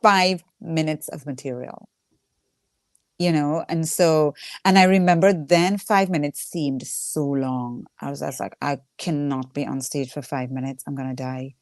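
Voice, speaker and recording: Low-voiced Trinidadian woman, candid and conversational. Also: measured pace, a little mellow, no echo, studio quality. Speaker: stand-up comedian, interview guest. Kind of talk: casual conversational interview.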